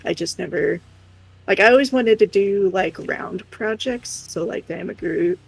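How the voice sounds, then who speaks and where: A woman's voice: medium pitch, moderate pace, gravelly, slightly rough, soft background music, low-quality recording. A woman co-hosting a crafts livestream, chatting casually and playfully with her viewers. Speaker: co-streamer doing crafts.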